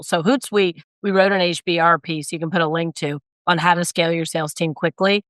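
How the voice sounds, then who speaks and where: Woman, hoarse, raspy, low voice, emphatic, dry close sound. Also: a bit bright, no echo. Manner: brisk, emphatic, acts out quoted voices. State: hoarse, recovering from illness. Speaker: guest, sales consultant and author. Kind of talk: conversational interview.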